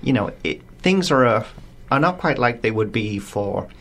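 A man's voice: medium pitch, lively intonation, moderate pace, a crowd in the background. A man hosting a live radio interview in the studio, enthusiastic and rambling with restarts. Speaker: radio host.

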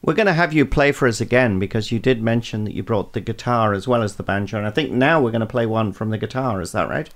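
A man's voice: lowish voice, fast, few pauses. Enthusiastic male radio host. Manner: enthusiastic, searching for words in questions. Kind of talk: radio interview.

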